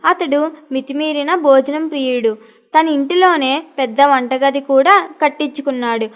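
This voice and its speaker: A woman's voice: high-pitched, moderate pace. A woman narrating a scripted moral story for children.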